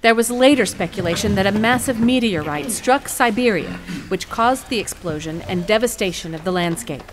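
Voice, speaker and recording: A woman playing a determined attorney in an audio courtroom drama, speaking formally and deliberately. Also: mid-range voice, lightly acted. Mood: dramatic. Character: poised, determined female attorney arguing in court.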